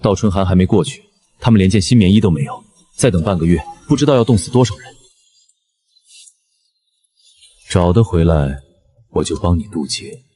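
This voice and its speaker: A man playing a brash, teasing young general in an animated period drama, mocking and theatrical in delivery. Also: low voice, quick pace, slightly rough. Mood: stern, threatening. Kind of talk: Chinese animated web drama voice acting.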